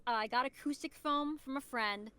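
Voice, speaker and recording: A woman's voice: high-pitched, moderate pace, sharp tone, clear, resonant tone. A woman voice actor chatting casually as a guest in a podcast interview.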